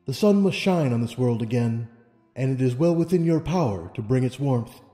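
A man's voice: mid-range voice, expressive, normal speed, warm tone, close to an everyday voice. A man voicing a wise, serene female pony ruler in a storybook reading, with solemn, formal speech. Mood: earnest, stately. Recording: long reverb, music underneath.

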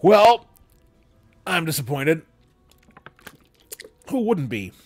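A young man reading a literary story aloud in an expressive storytelling style, close to a desk microphone. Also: on the high side, extremely slow, no echo, soft background music. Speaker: reader. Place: small room at home, indoors.

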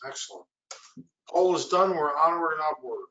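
A man's voice: a little high, animated, moderate pace, pushed and bright, dull audio. A middle-aged man giving an informal product presentation on a webinar from a small room. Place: small indoor room, video call. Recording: roomy echo, distant microphone.

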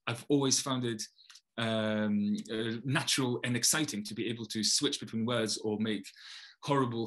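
Polish-accented man musing with many pauses. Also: fairly low pitch, fairly bright tone. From Poland. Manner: thoughtful, frequent hesitations and restarts. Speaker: poet. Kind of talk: casual talk to camera. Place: home study with bookshelves. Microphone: webcam, video call framing.

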